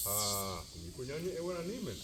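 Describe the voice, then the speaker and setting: A man with a Paraguayan accent giving a speech at a graduation ceremony, halting and full of fillers. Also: medium pitch, very animated, heavy background noise. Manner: halting, many fillers and restarts. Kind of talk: public address. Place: ceremony venue. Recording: distant mic with loud music behind.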